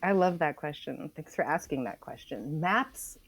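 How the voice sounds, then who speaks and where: A woman being interviewed as a writer, explaining her ideas conversationally and thoughtfully, with deliberate, emphatic phrasing. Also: low voice, expressive, husky. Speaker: interview guest, environmental writer.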